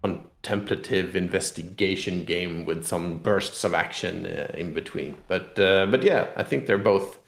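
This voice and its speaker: An echoey recording of a man with a fairly low voice. He talks casually as a game designer being interviewed, haltingly, thinking aloud as he goes and doubling back on his words. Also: creaky. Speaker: game designer and publisher guest. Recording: echoey room sound.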